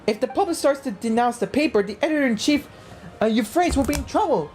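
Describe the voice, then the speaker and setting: Woman doing an excitable little game sidekick, high voice, emphatic n earnest, faint music. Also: fast talker. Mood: accusing.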